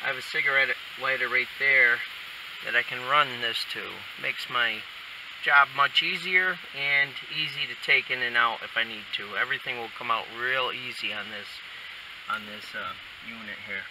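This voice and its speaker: A man giving a casual, plainspoken how-to while he works, talking in an unhurried, sometimes muttering way inside a truck cab. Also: medium pitch. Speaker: DIY installer demonstrating a how-to. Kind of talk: casual hands-on tutorial talk. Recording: distant mic, loud vehicle background noise.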